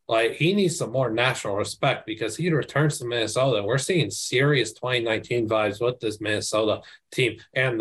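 A man's voice: medium pitch, a bit bright. A man in a casual sports podcast chat, talking emphatically and with casual slang. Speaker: college football panelist.

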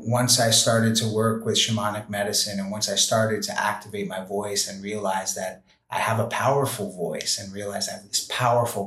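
Earnest lower-voiced man in echoey room. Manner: earnest, many fillers like "you know" and "like"; gentle, heartfelt. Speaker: podcast guest. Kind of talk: reflective interview conversation.

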